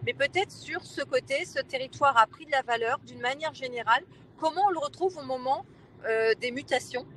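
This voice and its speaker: A woman, a local elected official, explaining her position in a panel discussion, emphatic and persuasive, with hesitant pauses. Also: sits high, pushed and bright, a little hiss or hum.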